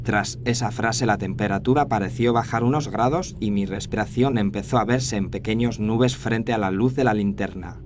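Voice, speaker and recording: A man's voice: fairly low pitch, moderate pace, few pauses. A man reading a scripted first-person horror story as its narrator. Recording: faint noise and ambience behind narration.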